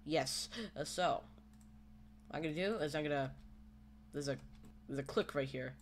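A man with a slightly high voice walks through a casual screen-recorded tutorial. He is hesitant and rambles as he goes. A faint layer of background noise sits under the home recording. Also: normal speed. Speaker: tutorial host.

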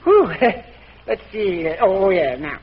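A man's voice: sits high, very animated, slow, crowd noise, exaggerated voice. An American actor playing a flustered, wistful, bumbling father in an old radio comedy, stammering and chuckling nervously. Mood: flustered coaxing. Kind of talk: 1940s radio comedy acting. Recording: muffled, hissy old radio recording.

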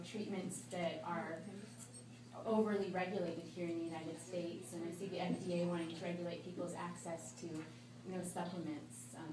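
A woman speaking spontaneously from the audience as she puts a question to a speaker. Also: on the low side. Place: hotel banquet room, indoors. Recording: echoey room from far off, noisy.

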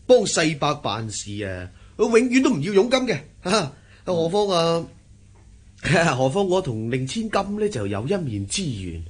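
An actor playing a polite, flattering young man in a Cantonese radio period drama, speaking deferentially with a Hong Kong accent. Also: a little high, moderate pace, breathy edge. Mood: flattering, eager. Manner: deferential, obsequious, effusively courteous. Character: polite, flattering young man, a visiting family friend's son.

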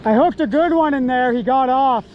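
High-voiced excited man wading a river. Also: moderate pace, lo-fi sound, a little hiss or hum. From Canada. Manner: excited, exclaiming over each catch. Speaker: fly-fishing angler and host. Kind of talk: casual outdoor vlog commentary. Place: outdoors, wading in a wide river. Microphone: body-worn action camera.

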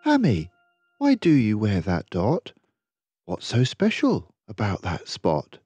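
A man's voice: fairly low pitch, huge pitch swings, takes it slowly, mellow, breathy edge, no room sound, soft background music, exaggerated voice. A man voicing a curious, eager little boy in a children's storybook, with a questioning, lilting manner.

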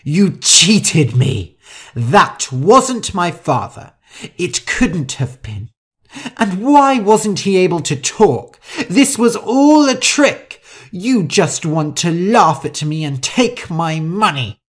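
A woman's voice: sits low, expressive, slow pace, darkish tone, voice pushed up, full character voice. A woman voicing a haughty, vain, hot-tempered older heiress in an audiobook story, with an imperious, theatrical manner. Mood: furious.

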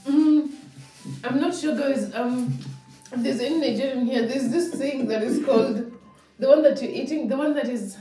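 A woman's voice: sits high, normal speed, echoey room, far from the mic, soft background music, poor audio. A young woman, chatting casually and laughing as she tastes food at home. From Kenya. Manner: relaxed, chatty, laughs often. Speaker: vlogger. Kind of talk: casual food-tasting chat. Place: home dining room, seated at a table.

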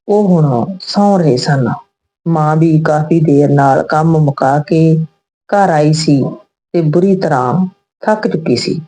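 Female storyteller, deep register, warm dark tone, for scripted emotional moral tales. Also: rough recording.

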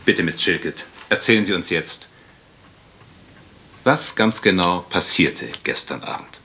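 A man playing a brisk, insistent investigator in a radio crime drama, with clipped, probing questions. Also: low-pitched, animated, bright, forceful tone, slight background noise, heavily acted. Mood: probing, businesslike.

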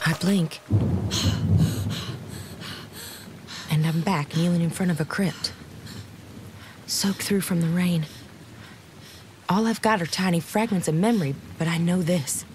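A woman's voice: on the low side, moderate pace, pauses often, a little mellow, a little hiss or hum, close to an everyday voice. An actress playing a confused young woman left for dead, narrating her story in a casual, wry, hesitant way for an audio drama. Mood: bewildered, tense. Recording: dramatic music behind the voice.

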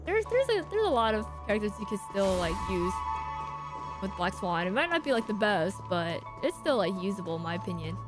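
A woman game streamer giving casual live commentary to chat in informal, halting, slangy speech. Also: on the high side, fairly bright tone. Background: game music.

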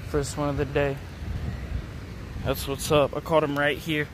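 A man's voice: fairly high pitch, completely flat delivery, measured pace, background noise. A young man casually vlogging his fishing catches to viewers, talking into a handheld phone outdoors. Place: outdoors at a city pond edge. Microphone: phone camera held in hand.

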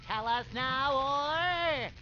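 A man's voice: extremely high pitch, very slow, highs cut off, heavily acted. A man voicing an eager, boyish, heroic sea sponge in a cartoon video game, chirpy, earnest and melodramatic. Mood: mock threatening. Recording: clear game noise behind the voice.

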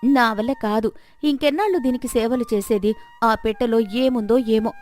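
A woman plays a greedy village wife in a storybook tale, high-voiced and animated. She plots and wheedles with exaggerated fake sweetness, over faint music. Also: moderate pace, natural voice. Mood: impatient plotting. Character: greedy, scheming, sugary-tongued middle-aged village wife.

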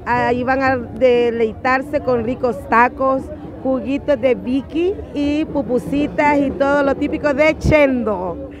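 A woman's voice: sits high, slow, muffled recording. A woman in her forties cheerfully inviting people to her food stall in a street interview, outdoors on a busy city square. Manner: cheerful, inviting, laughs often. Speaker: food vendor. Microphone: phone camera close. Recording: loud crowd behind. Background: crowd chatter.

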